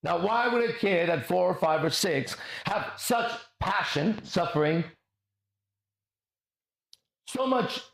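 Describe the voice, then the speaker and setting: A man's voice: high-pitched, animated, takes it slowly, creaky, pauses often, a bit bright. A man giving a lecture to an audience, telling childhood stories in an intense, profane, storytelling manner. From grew up abroad, left home country at four. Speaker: motivational speaker. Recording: echoey room.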